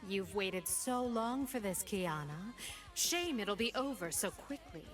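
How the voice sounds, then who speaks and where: A woman's voice: medium pitch, lively intonation, a little slow, lightly acted. Woman acting a cocky, lethal young assassin. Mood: cocky taunting. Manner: taunting, theatrical menace. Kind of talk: video game character voice lines. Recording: music behind.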